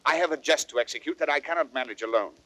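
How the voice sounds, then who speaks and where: A man's voice: fairly high pitch, rapid-fire, a bit bright, no room sound, lightly acted. A man as sly, cheery thief, dry sound. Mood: coaxing. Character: sly, cheery thief coaxing his comrades. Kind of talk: old radio-style Shakespearean acting.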